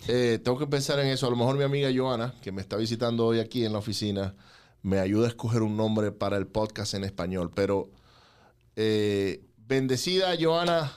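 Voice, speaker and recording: A man's voice: lowish voice, brisk, clear, resonant tone. A man in his forties, hosting a podcast and interviewing in a conversational style. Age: 40s. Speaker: podcast host.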